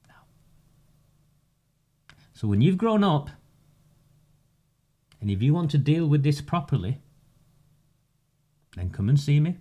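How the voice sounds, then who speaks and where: A man, delivering a measured, sarcastic campaigning monologue with emphatic pauses. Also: on the high side, very animated, warm tone. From northern England. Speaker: community campaigner. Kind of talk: direct-to-camera monologue.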